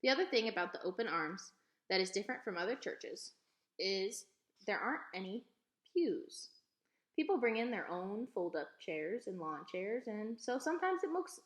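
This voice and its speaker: Expressive woman storyteller in an echoey room. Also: mid-range voice. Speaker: read-aloud storyteller. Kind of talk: expressive children's book narration.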